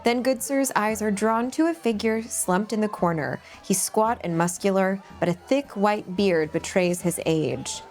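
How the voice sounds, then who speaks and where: A woman hosting a table read, chatting briskly and then reading stage directions aloud. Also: mid-range voice, a little mellow, soft background music. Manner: brisk, upbeat, laughs easily. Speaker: host and script narrator.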